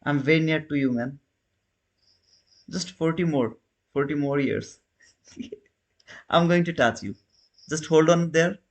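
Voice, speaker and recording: A man's voice: on the high side, measured pace, long pauses. A man in his thirties casually hosting a trading livestream, chatting with viewers and answering their comments with loose, restarting phrasing. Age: 30s. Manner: non-native phrasing, frequent restarts. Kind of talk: casual live-stream commentary.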